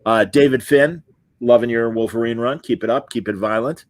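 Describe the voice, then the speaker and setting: A man's voice: medium pitch, fairly even intonation, normal speed, dry recording. A middle-aged man hosting a casual interview podcast, chatting loosely and reading out viewer comments. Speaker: podcast host.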